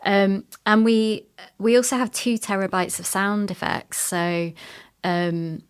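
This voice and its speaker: A woman's voice: medium pitch, fairly even intonation, takes it slowly, smooth. A middle-aged woman chatting casually as an interview guest about her work in sound production.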